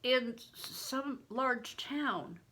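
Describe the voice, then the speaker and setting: A woman's voice: fairly high pitch, very slow, pushed and bright, pitched above the performer's own voice, heavily acted. A woman voicing a timid, evasive thirteen-year-old medieval peasant boy in a storybook, hesitant and deferential. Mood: wary, hesitant.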